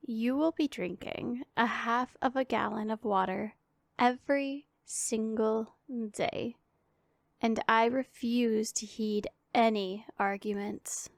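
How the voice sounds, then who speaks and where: A woman voicing a powerful female demon in an ASMR roleplay, imperious and teasing with a secretly caring streak, speaking in formal, deliberate phrases. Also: on the high side, vocal fry, no room sound, studio quality. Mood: commanding, smug.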